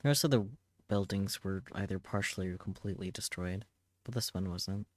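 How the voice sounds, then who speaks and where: Low-voiced man, solemn dramatic narration. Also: no room sound. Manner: solemn, measured, short halting phrases. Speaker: streamer reading a visual novel aloud. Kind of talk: dramatic scripted first-person narration.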